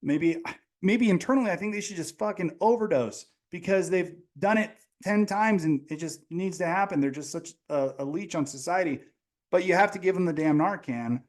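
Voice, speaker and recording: A man hosting a podcast, making his case in a reasoned, measured way with casual swearing. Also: high voice. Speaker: podcast host. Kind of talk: conversational interview.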